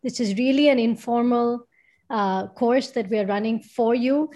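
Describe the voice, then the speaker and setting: A woman opens an online course with encouraging remarks and hands over to the speaker. Her voice is a little high. She sounds upbeat and supportive. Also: a little slow. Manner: warm, encouraging. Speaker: course organizer. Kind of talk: welcoming remarks on a video call.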